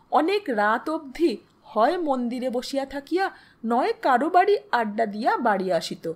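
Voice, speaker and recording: Lady reading a dramatic short story, quite high voice, measured and expressive, pauses between phrases. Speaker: storyteller, audio story narrator. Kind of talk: dramatic literary narration of a Bengali short story.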